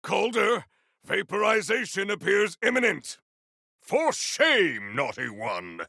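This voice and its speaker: An actor playing a proud, formal, honor-bound warrior in a video game, with archaic, theatrical diction. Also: male voice, high voice, very animated, slow, vocal fry, pushed and bright, no echo, studio quality, heavily acted. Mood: exclaiming, indignant.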